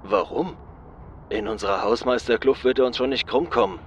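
A man's voice: mid-range voice, normal speed, lightly acted. A man playing a wary street mage in an audio drama, blunt and colloquial. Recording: slight background noise.

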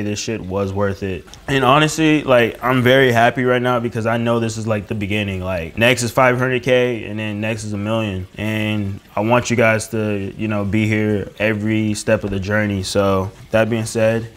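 A young man chatting casually and informally to camera as a YouTuber, swearing freely. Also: lowish voice. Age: young adult. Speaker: prank YouTuber and host. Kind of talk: casual vlog chat to camera. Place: indoors, home dining room.

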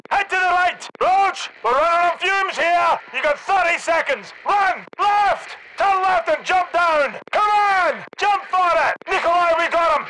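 Tough male army squad leader in a shooter game, really high pitched urgent voice, barking short orders, battle noise behind. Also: animated, unhurried, few pauses. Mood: desperate, urgent. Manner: commanding, clipped radio-style military orders. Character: tough, urgent male special forces soldier leading a squad. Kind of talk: video game battle dialogue.

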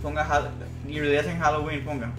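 A man's voice: on the high side, flat delivery, fast talker. A young man hosts a casual livestream with an upbeat manner, speaking straight to his viewers. His voice rings in an echoey room, with music behind him. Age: young adult. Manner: upbeat, addresses viewers directly. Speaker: livestream host reading viewer comments. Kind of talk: casual excited stream chat. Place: indoor room, on webcam.

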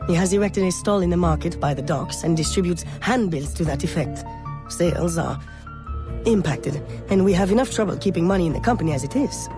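A man's voice: high-pitched, fairly quick, music in the background. An actor playing a devoted young male bookkeeper and inventor in a video game, with a flustered, polite, hesitant manner. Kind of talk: scripted video game acting.

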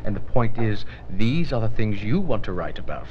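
An actor playing the kindly, thoughtful ghost of an old statesman in an educational film, measured and gently instructive. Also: fairly low pitch, expressive, slight background noise, lightly acted. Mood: patient, encouraging. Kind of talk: 1980s educational film acting. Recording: dull 1980s 16mm film soundtrack, music behind.

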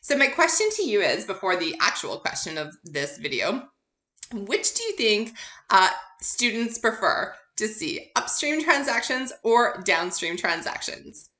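Bright-voiced woman lecturing in an echoey room. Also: medium pitch, very animated, unhurried. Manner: conversational, informal. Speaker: accounting course instructor. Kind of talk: recorded lecture, explanatory with casual asides. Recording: roomy, echoey sound.

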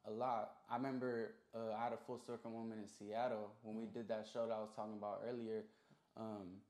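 A man's voice: on the low side, level tone, brisk, darkish tone. A young man chatting casually as an interview guest, in a slangy way with repeated emphatic phrases. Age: young adult. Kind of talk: casual chat. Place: small recording studio. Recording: roomy indoor echo.

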